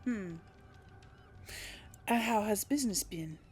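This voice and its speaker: A woman's voice: medium pitch, lively intonation, takes it slowly, a little mellow, slightly rough, faint music behind. A woman doing casual game commentary and role-playing dialogue choices, musing aloud with little hums. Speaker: gamer narrating a role play series.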